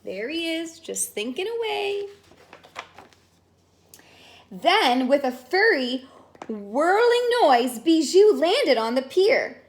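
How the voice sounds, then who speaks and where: A woman teacher reading a picture book aloud in an expressive, sing-song way, stretching words and adding asides to young listeners. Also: high voice, takes it slowly, pauses often. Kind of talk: animated children's story read-aloud with asides to listeners.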